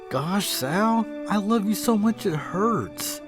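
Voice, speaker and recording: A man's voice: high voice, lively intonation, slow pace, music in the background. A man playing a proud, chauvinist cowboy suitor in a comic western drama, speaking in folksy cowboy talk. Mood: lovesick, pleading.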